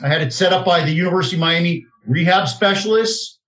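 A man's voice: high voice, fast talker, fairly bright tone. A man in his fifties hosting a talk radio show in a direct, self-assured, salesy way. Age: 50s. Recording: roomy, echoey sound.

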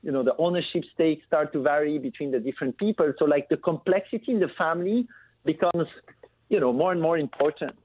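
Man from Belgium, phone-line sound, slightly high voice, casual filler-heavy talk, faint hiss. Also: brisk, husky. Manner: fluent, many fillers like "you know" and "like". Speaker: guest, family business founder.

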